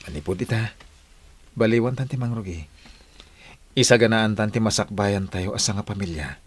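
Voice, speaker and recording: A humble Ilocano family man, speaks in a low voice. He is earnest and devout, with the measured delivery of radio drama. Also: slightly rough, no echo. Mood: tender, grateful.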